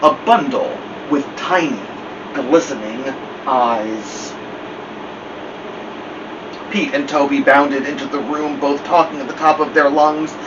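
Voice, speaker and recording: A man's voice: a little high, slow, pauses often. A man voicing a wry, dignified old family dog in first-person storybook narration, with formal, digressive wording. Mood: wry, reflective. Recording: muffled, distant, noisy room hum.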